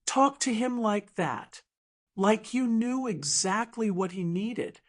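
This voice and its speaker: A woman voicing a gruff, uncertain grandfather in an audiobook drama, quiet, halting and awkwardly tender. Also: mid-range voice, lively intonation. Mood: quietly questioning.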